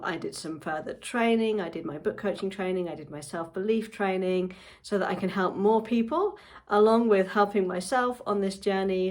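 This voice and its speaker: A woman in her forties, a book coach, chatting casually to camera at home with frequent ums. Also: mid-range voice. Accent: non-Japanese English accent. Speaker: book coach and channel host.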